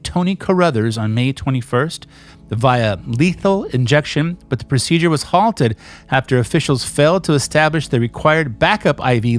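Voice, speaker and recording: A man hosting a casual, joking chat with his elderly mother, laughing often and slipping into silly voices. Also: fairly high pitch. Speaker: host interviewing his mother.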